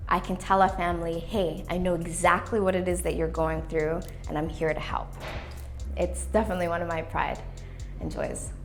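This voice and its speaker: A woman in her twenties from Canada, talking in a documentary interview in an earnest, reflective, motivational way. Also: low-pitched. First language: English. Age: 20s. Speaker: playwright and youth worker.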